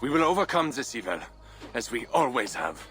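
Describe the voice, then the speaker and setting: A man's voice: mid-range voice, very animated, brisk, fairly bright tone, faint music behind. A man playing a proud, ambitious Frankish count, formal and solemn, in a dramatic video game. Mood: ambitious, resolute.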